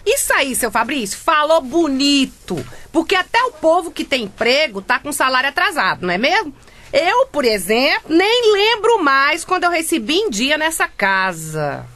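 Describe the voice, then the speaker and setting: An actress with a Brazilian accent playing an outspoken, grumbling housemaid in a comedic radio drama, folksy and blunt. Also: female voice, sits high, big pitch swings, moderate pace, fairly bright tone. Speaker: actor.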